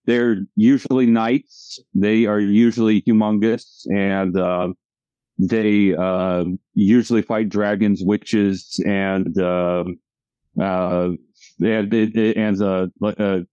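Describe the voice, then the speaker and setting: Lowish smooth guy in an interview, halting, pauses a lot, bit muffled dry audio. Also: fairly even intonation, warm tone, dry recording. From United States. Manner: halting, hesitant explaining. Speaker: comic creator, interview guest. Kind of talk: casual interview chat. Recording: slightly dull, lo-fi audio.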